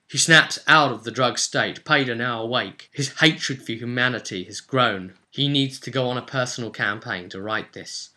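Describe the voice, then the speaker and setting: A man's voice: mid-range voice, pushed and bright. A man narrating a tabletop fantasy game as game master, dramatic and intense, repeating phrases for emphasis.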